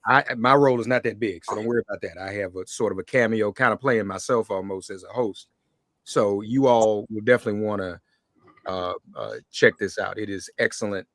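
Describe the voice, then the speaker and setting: A man with a fairly low voice hosts an interview in a very dry-sounding space. He speaks conversationally to guests and audience, pausing between phrases. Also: fairly bright tone, dry recording. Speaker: podcast host, interviewer. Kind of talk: conversational hosting.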